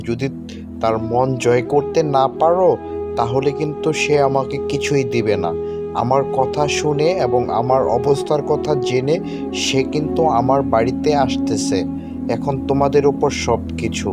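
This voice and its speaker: A man's voice: mid-range voice, close to an everyday voice. A man playing an earnest, worried village farmer and husband in a Bangla cartoon. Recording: music under the voice.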